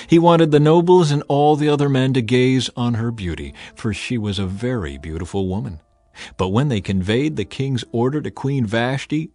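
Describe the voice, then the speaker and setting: A man reading scripture as a dramatic audio narration, in a measured, solemn storytelling manner. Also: mid-range voice, darkish tone. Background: background music.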